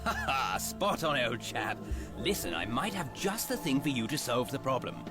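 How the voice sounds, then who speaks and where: An actor voicing an eccentric, jovial old professor with an absent-minded, old-fashioned gentlemanly manner. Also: male voice, mid-range voice, sing-song, normal speed, over music, close to an everyday voice. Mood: chummy, cheerful.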